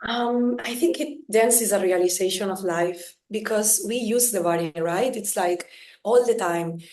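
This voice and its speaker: A woman from Spain speaks as a podcast guest. She is emphatic and animated, pressing her points with "come on" and "right?" Her mid-pitched voice carries a noticeable room echo. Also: unhurried. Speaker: guest dancer. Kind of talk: casual conversational interview. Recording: echoey room sound.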